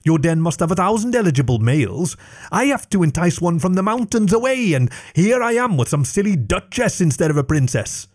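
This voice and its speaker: Male narrator as a bossy, booming she-dragon, raised pitch, animated, storybook reading. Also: a little fast. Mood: bitter. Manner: loud, imperious. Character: loud, grumpy female dragon.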